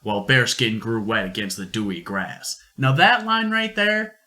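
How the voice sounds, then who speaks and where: Bright-voiced, animated man narrating a story. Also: medium pitch, moderate pace. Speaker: storyteller, co-host.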